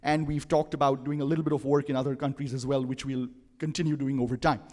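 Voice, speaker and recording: A man giving relaxed, chatty opening remarks at a conference, with fillers and questions to the audience. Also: medium pitch, fast. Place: conference hall. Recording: long hall reverb.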